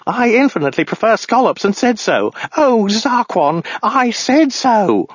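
A man's voice: sits high, very animated, unhurried, slightly rough, no room sound, lo-fi sound, voice pushed up, full character voice. A man voicing a frantic, rambling, obsessive madman, a lone survivor in a comic science fiction audiobook. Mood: babbling, incredulous. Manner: hysterical, rambling, obsessive, babbling.

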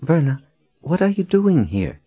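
A man's voice: fairly high pitch, big pitch swings, unhurried, breathy edge, dull audio, faint music behind, pitched above the performer's own voice, exaggerated voice. A man voicing a frightened, demure enslaved woman in an audiobook, pleading with urgency. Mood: timid astonishment.

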